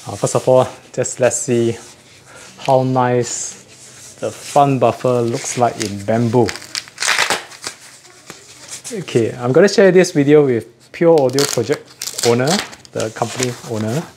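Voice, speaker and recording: A man giving a casual unboxing chat as a host, often pausing to rephrase himself. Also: medium pitch, background noise. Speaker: host of an audio gear channel.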